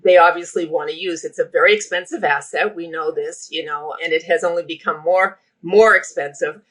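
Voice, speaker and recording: A middle-aged woman with a Boston accent speaks as an interview guest, talkative and enthusiastic. Her voice has a bright, forceful edge. She talks in a lively, unrehearsed way. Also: on the low side, a little fast. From Boston area. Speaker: healthcare operations guest. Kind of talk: podcast interview.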